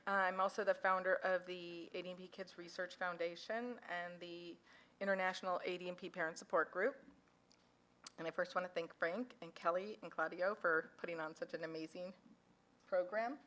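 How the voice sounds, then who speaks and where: A woman's voice: on the low side, steady tone, moderate pace, fairly bright tone. A woman speaking at a conference as a foundation founder and parent, chatty and self-deprecating, into a microphone in a conference hall. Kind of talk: informal conference talk. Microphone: handheld or podium microphone.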